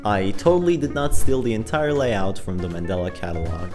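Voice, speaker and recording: A man's voice: on the low side, fairly quick, darkish tone, faint music behind. A young man hosting a scripted vlog about his coding project, talking into a close handheld mic in a self-deprecating, dryly joking way. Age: young adult. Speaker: video creator, host. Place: home room, indoors, mostly. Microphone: handheld microphone held close.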